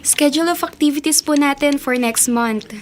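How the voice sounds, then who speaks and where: An actress playing a polite, deferential young office trainee in a radio drama, with a Filipino accent. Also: female voice, high voice, normal speed, music in the background, lightly acted. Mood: dutiful. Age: young adult. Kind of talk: Tagalog radio drama acting.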